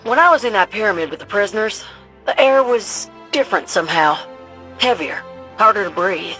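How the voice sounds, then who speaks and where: A woman's voice: mid-range voice, animated, moderate pace, bright, forceful tone, soft background music, rough recording. A woman playing a plucky, down-to-earth pilot in a video game, informal and plainspoken. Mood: casual. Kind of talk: scripted video game voice acting.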